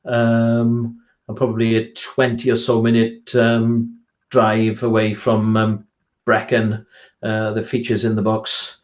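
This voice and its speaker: A man's voice: on the low side, completely flat delivery, slow, dull audio. A Welsh man, an author being interviewed on a video call, talking in a hesitant, self-deprecating way with many ums and restarts. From Wales. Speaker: author guest. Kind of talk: casual online chat interview.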